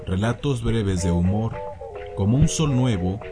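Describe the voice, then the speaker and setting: A man's voice: on the low side, lively intonation, measured pace, over loud music. A man reading a formal, scripted introduction as a radio program announcer.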